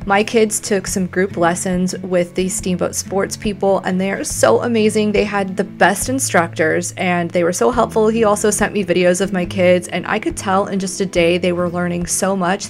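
A woman's voice: lowish voice, fairly even intonation, normal speed, vocal fry, few pauses. A woman in her forties narrating a family travel vlog in a scripted voice-over, upbeat and gushing with superlatives. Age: 40s.

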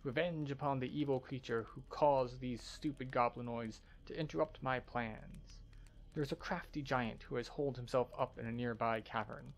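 Man voicing a gravelly, vengeful fantasy expedition leader. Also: mid-range voice, steady tone, moderate pace, natural voice.

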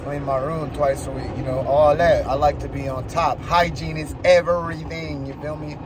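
A man in his twenties vlogs casually with a slightly high voice. He is slangy and sure of himself, talking himself up as he goes. The phone recording carries plenty of background noise. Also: level tone, moderate pace. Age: 20s. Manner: slangy, cocky, self-motivating. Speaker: vlogger, baseball player and coach. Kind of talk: casual hyped vlog chat. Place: inside a car and outdoors. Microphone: phone at arm's length. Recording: noisy car and outdoor phone recording.

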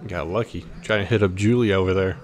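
A man's voice: low voice, steady tone, fast talker. An American man giving casual, playful trash-talking commentary as he plays a video game, jumping between exclamations and muttered asides. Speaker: gaming video host. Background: basketball video game sounds.

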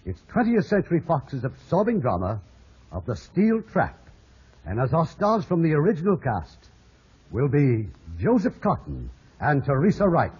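Very animated, raspy male radio host. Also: on the high side, normal speed. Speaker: radio show host and producer. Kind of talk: 1950s radio hosting, scripted banter. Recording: muffled old radio recording.

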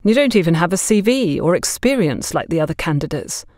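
A woman voicing a stern, frank middle-aged businesswoman in an audiobook, brisk and no-nonsense. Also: on the low side, very animated, a little mellow, dry recording, clean studio sound. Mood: stern, skeptical.

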